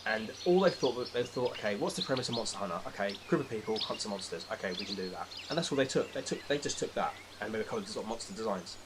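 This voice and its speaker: Low-voiced man over background noise, podcast guest. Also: lively intonation, racing, few pauses. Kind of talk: casual opinionated discussion.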